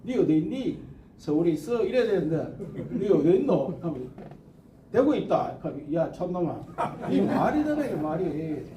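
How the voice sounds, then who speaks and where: High-pitched older man with Gyeongsang dialect. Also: big pitch swings, normal speed, dark tone, roomy sound, recorded from a distance, slight background noise, rough recording. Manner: rambling, folksy, emphatic, quotes people in dialect. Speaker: politician. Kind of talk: campaign speech.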